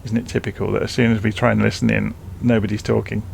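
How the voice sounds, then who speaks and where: A man with a very gravelly, creaky voice presents a casual software tutorial. He explains each step informally, as if showing someone his screen. A faint noise sits behind his voice. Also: on the low side, little pitch movement, fast talker. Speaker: flight simulation tutorial presenter.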